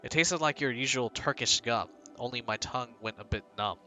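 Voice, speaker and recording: A man's voice: medium pitch, fairly quick, bright, forceful tone, faint music behind. A male streamer reading a game's text aloud and breaking off for joking, rambling asides.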